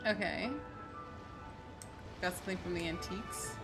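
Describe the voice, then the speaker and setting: A woman's voice: fairly low pitch, steady tone, normal speed. A woman doing casual livestream game commentary, chatty, reactive and slangy. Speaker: game streamer. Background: game music.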